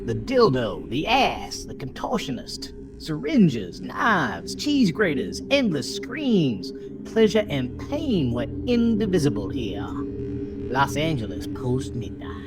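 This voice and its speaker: A man narrating a horror story in a dramatic, theatrical style, with emphatic phrasing and deliberate pauses. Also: a little high, wildly swooping pitch. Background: music.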